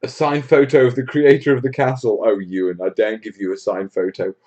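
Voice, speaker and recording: A man's voice: medium pitch, flat delivery, a little fast, darkish tone. A man from Wales hosting a casual live Q&A stream, chatting to viewers in a blunt, jokey, matey way. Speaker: host of a hobby livestream.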